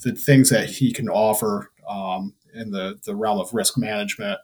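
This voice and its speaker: Earnest man, low voice, halting. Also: steady tone, darkish tone. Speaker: vendor guest. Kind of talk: casual chat.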